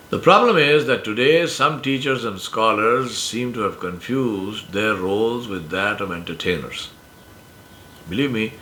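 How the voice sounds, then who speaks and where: A man in his sixties from India with an Indian accent, Urdu his first language, giving a measured, emphatic reflective monologue. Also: mid-range voice, bright, forceful tone, slight background noise. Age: 60s. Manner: measured, emphatic, uses metaphors. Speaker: speaker sharing life lessons.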